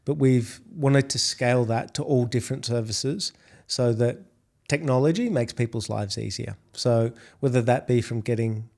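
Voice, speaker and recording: Australian man, middle-aged, warm mellow tone, measured business interview answers. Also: mid-range voice, vocal fry. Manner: measured, repeats words when restarting. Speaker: podcast guest, food delivery executive.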